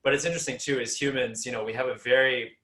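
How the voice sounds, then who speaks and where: A man's voice: mid-range voice, fairly even intonation, quick pace, sharp tone. Man talking in an echoey room. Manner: hesitant, many fillers and restarts, laughs easily. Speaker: panel guest, startup founder. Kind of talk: casual panel talk. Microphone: video call. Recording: roomy echoey call audio.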